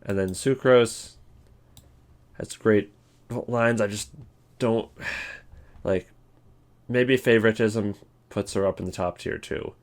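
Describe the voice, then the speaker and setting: A man's voice: fairly low pitch, fairly even intonation, slow pace, long pauses. A man giving casual, rambling commentary on a ranking list as a video host. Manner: rambling, informal.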